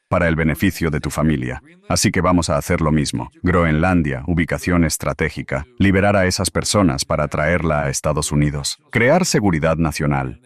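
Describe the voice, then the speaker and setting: A man's voice: low voice, no room sound. A man speaking as a political commentator guest, emphatic and repeating his key phrases in an interview answer.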